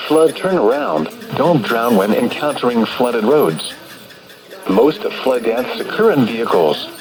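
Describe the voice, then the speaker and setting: Automated male weather radio announcer, American, mid-pitched, formal and stiff text-to-speech bulletin read, noisy reception. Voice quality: synthetic text-to-speech sound. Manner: formal, impersonal, stiffly segmented reading. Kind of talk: scripted emergency weather bulletin.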